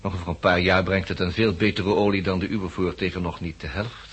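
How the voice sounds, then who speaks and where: An actor playing a shrewd smuggler who bargains in a polished, deliberate way in a 1960s Dutch radio drama. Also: male voice, sits low, steady tone, slight background noise, close to an everyday voice. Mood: sly confidence. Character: shrewd male seed smuggler driving a hard bargain.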